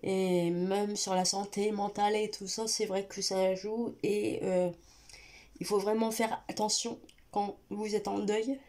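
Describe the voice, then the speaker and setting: A woman's voice: mid-range voice, little pitch movement, a little slow, darkish tone. A young woman vlogging with a stuffy nose from a cold, talking hesitantly and directly to her viewers with many fillers and restarts. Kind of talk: personal confessional vlog talk.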